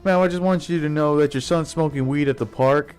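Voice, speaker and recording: Man putting on fussy higher-pitched prank-call mom. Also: fairly quick, darkish tone, clean tone, lightly acted. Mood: mock concern. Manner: mock-polite, fussy, saying ma'am. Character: boy pretending to be a concerned, complaining mom on prank call.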